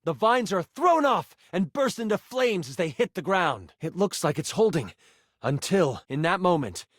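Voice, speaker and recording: An actor playing a theatrical game master, narrating a fantasy battle with suspense for a video game. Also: male voice, high voice, big pitch swings, normal speed, no echo, clean studio sound. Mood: dramatic. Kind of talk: dramatic tabletop fantasy narration.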